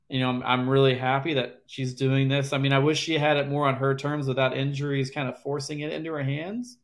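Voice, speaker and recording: A man, a former caddie and podcast guest, talking in a rambling, halting way with many restarts. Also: mid-range voice. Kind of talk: casual interview chat.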